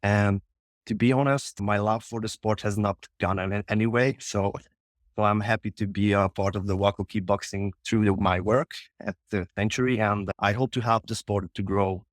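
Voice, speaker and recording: Hungarian coach in his thirties, lowish voice, modest and halting, dry close mic. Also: steady tone, dry recording. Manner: modest, halting non-native English. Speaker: kickboxing coach. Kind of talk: interview answers.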